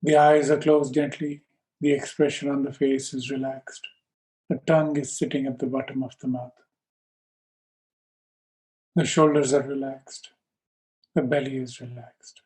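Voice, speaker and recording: A man teaching yoga and guiding a meditation in slow, calm, measured short phrases with pauses between them. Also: medium pitch, poor audio. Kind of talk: slow guided meditation instruction.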